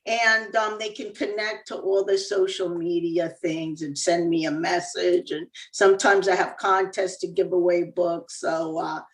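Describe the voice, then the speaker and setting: A woman's voice: on the low side, level tone, unhurried, few pauses, echoey room, distant mic, rough recording. A woman from Cuba, an author and former dentist, talking casually as an interview guest with easy laughs and many fillers.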